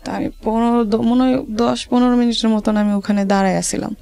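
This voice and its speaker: A woman with a rural Bangladeshi accent, telling her life story to an interviewer in halting, repetitive dialect. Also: a little high, steady tone, creaky, warm tone.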